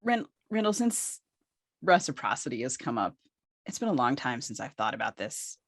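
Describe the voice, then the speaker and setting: A woman's voice: rumbling low, big pitch swings, normal speed, dry recording, studio quality. A woman in her forties chatting casually as a podcast co-host. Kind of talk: casual conversational interview.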